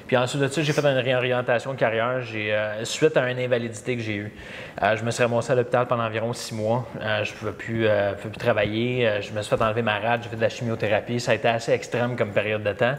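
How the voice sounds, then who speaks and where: Halting Québécois man telling his story. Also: medium pitch, level tone. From Montreal. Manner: halting, many fillers and repeats. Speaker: podcast guest. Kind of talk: casual interview storytelling. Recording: long reverb, faint background noise.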